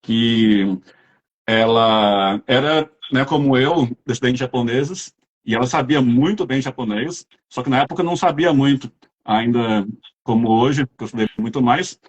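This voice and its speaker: A man's voice: mid-range voice, moderate pace. A man with a Brazilian accent, chatting casually as an interviewer and telling rambling anecdotes. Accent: Brazilian Portuguese.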